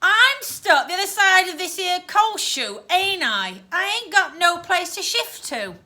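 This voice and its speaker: Cockney ghost lad for a storybook, played by a woman: cheeky, smug, impatient, bright and very animated. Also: very high-pitched, measured pace, voice pushed up, exaggerated voice. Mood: impatient, bossy. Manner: blunt, slangy, mocking. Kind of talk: children's storybook read aloud.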